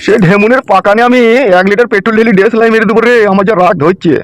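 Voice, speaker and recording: গ্রামবাংলার টানে একজন পুরুষ কার্টুনে এক বদরাগী, মুখখারাপ, দাদাগিরি-করা নেতার গলা দিচ্ছে।. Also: male voice, high voice, fairly even intonation, very fast, dry recording, soft background music, natural voice. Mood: furious. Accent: rural West Bengali. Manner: threatening, domineering. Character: bullying, hot-tempered politician boss, manipulative and crude. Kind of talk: amateur comedy cartoon dub.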